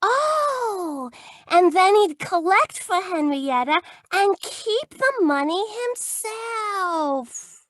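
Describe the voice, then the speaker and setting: Effie, high-pitched adoring secretary. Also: female voice, big pitch swings, drawn-out words, fairly bright tone, dry recording, studio quality, full character voice. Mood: naive wonder. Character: Effie, sweet, naive, adoring young female detective's secretary. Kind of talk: 1940s radio comedy-drama acting.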